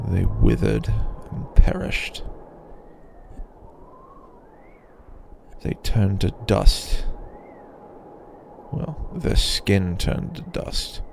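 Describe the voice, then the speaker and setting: Man voicing a gravelly, slow, ancient dragon. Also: a little mellow, slight background noise, full character voice. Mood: musing, wistful. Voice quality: deep, gravelly. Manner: slow, halting, brooding, musing. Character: ancient possessive male dragon, weary, proud captor. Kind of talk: roleplay ASMR monologue addressed to the listener.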